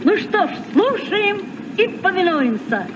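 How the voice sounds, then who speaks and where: An actor playing an eager, inquisitive fifteen-year-old sea captain in a vintage radio play. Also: male voice, extremely high pitch, big pitch swings, unhurried, over loud music, exaggerated voice. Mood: eager, questioning. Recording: muffled, noisy old radio recording.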